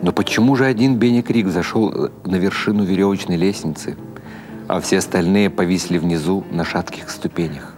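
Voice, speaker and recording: A man reads a literary story aloud with expressive, measured delivery. His voice sits very low, and music plays clearly behind him. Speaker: audiobook narrator. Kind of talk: scripted literary narration.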